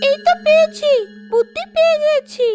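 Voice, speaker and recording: A woman voicing a sly, bossy, hungry female ghost in an animated storybook tale. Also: very high voice, moderate pace, music in the background, voice pushed up. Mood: scheming, hungry. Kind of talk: animated Bengali storybook acting.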